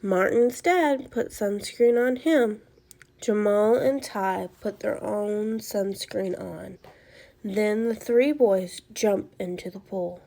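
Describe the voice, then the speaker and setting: Slow, creaky-voiced woman reading to children. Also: medium pitch, dark tone. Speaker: teacher reading a story aloud. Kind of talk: children's read-aloud with comprehension questions.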